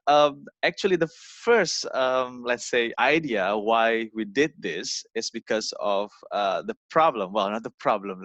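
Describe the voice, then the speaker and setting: A young man from Indonesia, giving an informal talk over a video call, halting with many fillers and repeated words. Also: on the low side, fairly bright tone, no room sound. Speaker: guest speaker. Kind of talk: informal online presentation.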